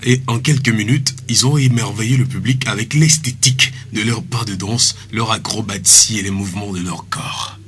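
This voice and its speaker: A man reading a radio news bulletin in a measured, formal newscaster manner. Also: on the low side, fairly bright tone. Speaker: radio newsreader.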